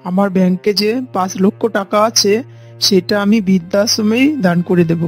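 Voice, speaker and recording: A woman's voice: mid-range voice, steady tone, normal speed, lightly acted. A woman voicing an elderly village mother-in-law in a cartoon, plain-spoken and self-effacing. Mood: resigned, hurt. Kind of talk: cartoon character dialogue. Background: faint music.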